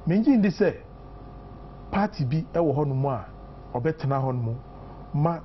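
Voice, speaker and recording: A man's voice: a little high, lively intonation, normal speed, muffled recording, slight background noise. A Ghanaian man in his fifties, a political guest on a morning newspaper review, mixing English and Twi with many fillers and emphatic points. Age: 50s.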